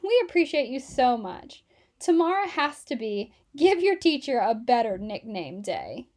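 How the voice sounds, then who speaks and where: Woman as an eager, cheeky, high-voiced schoolkid. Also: big pitch swings, measured pace, darkish tone.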